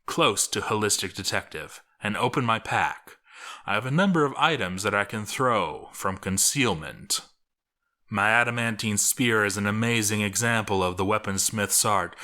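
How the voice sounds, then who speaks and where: A man narrates a story in a fairly low voice with a bright, forceful edge. His delivery is theatrical and deliberate, shaped for drama with well-placed pauses. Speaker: narrator reading a game story aloud.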